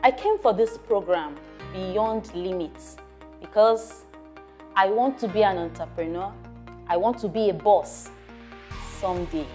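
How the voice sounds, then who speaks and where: A woman's voice: medium pitch, measured pace, darkish tone. Emphatic woman in her twenties. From Nigeria. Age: 20s. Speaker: workshop participant. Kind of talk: self-introduction. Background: background music.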